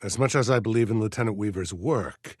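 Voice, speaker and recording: An actor playing a zealous, commanding middle-aged militia colonel in a video game, speaking in a grandiose, deliberate, preaching way. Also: male voice, lowish voice, darkish tone, slightly rough, dry recording, clean studio sound. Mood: measured, paternal. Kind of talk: video game voice acting, oratorical.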